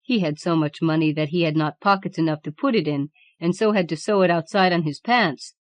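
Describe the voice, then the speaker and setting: A woman's voice: lowish voice, brisk, dry recording, lightly acted. A woman voicing a shrewd peasant man in a storybook, folksy and self-assured. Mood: defiant, assured. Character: plain-spoken, confident peasant man, shrewd.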